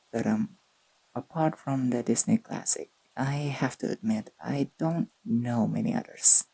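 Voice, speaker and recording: Performer playing a young boyfriend, unhurried, breathy and husky, soft-spoken, affectionate teasing, intimate sleep-aid audio. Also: mid-range voice, full character voice, poor audio. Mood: playful pleading. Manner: tender, playful, murmured delivery.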